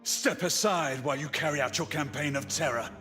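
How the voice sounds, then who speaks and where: An actor playing a stern, suspicious Victorian knight in a video game, curt, formal and quick to anger. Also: male voice, fairly high pitch, bright, forceful tone, faint music behind. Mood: angry, suspicious. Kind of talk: dramatic video game cutscene acting.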